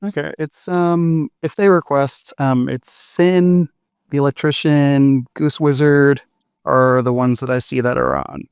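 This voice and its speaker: A man's voice: medium pitch, steady tone, a little slow, darkish tone, clear, resonant tone, no room sound, studio quality. A man who founded a project, explaining it in a plain, matter-of-fact way during a casual live audio chat.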